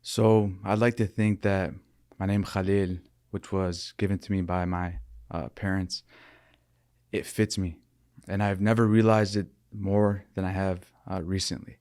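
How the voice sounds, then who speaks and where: A young man from Michigan, talking earnestly and thoughtfully as a podcast guest, often pausing and restarting his sentences. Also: low voice, flat delivery, a little mellow, smooth, no echo, studio quality. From Michigan, USA. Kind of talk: reflective interview talk.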